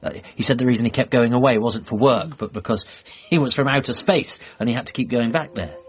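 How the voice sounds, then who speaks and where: An actor playing an eager, apologetic grown-up son in a radio comedy drama, in narration and dialogue. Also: male voice, medium pitch, fast, close to an everyday voice. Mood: gentle, careful. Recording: slightly muffled, faint music behind.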